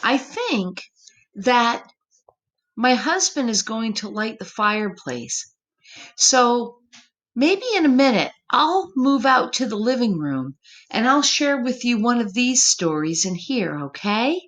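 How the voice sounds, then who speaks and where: A woman reading a story aloud to young children, gentle and expressive, pausing to chat and ask them questions. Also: a little high. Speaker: children's story reader, teacher. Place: room at home.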